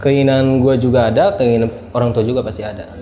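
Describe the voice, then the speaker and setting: A man's voice: medium pitch, fairly even intonation, fast talker, mellow. A man of twenty-three, answering interview questions casually. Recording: echoey, faint background noise.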